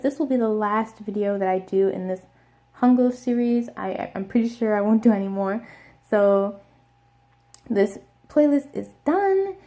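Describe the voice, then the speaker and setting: A young woman with an American accent explaining pronunciation step by step in a casual video lesson, repeating words slowly. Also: fairly high pitch, warm tone. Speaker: language teacher.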